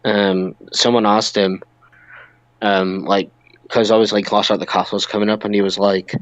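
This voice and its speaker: A man's voice: fairly low pitch, little pitch movement, normal speed, no echo, dull audio. A British man chatting casually and with strong opinions as co-host of a wrestling podcast. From United Kingdom.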